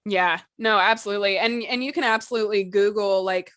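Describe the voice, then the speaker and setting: A woman's voice: medium pitch, fairly even intonation, brisk, fairly bright tone, no room sound, clean studio sound. A woman co-hosting a casual podcast discussion, talking in a conversational, loosely hedged way with "like" and "you know" sprinkled in. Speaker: podcast co-host.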